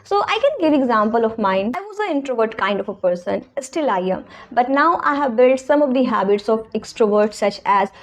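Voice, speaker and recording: A woman's voice: a little high, lively intonation, brisk, few pauses. A young woman with an Indian English accent, a native Hindi speaker, giving an earnest and encouraging English-speaking lesson to her channel. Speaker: English teacher. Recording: slightly dull, lo-fi sound.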